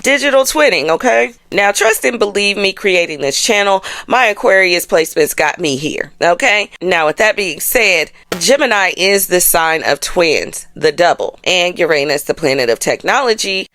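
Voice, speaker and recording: A woman's voice: on the low side, lively intonation, moderate pace, hardly any pauses, a bit bright. An American woman hosting an informal astrology forecast, speaking colloquially and emphatically with frequent check-in tags. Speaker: host of an astrology channel.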